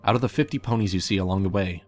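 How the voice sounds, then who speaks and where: Low voiced guy narrating a fanfic, soft music behind. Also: rapid-fire. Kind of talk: scripted fan fiction narration.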